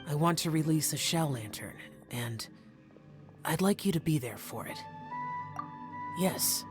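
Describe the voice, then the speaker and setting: A man's voice: on the high side, animated, a little slow, music in the background. A man playing a solemn, reserved young male warrior adept in a video game, speaking formally and with restraint. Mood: quiet, sincere.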